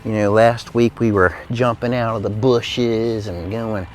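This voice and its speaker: A man's voice: on the low side, fairly even intonation, a little slow, a little hiss or hum. A man hosting a kids' gym video, chatting casually and playfully as he gives a helper instructions. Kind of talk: casual chat while setting up a prank.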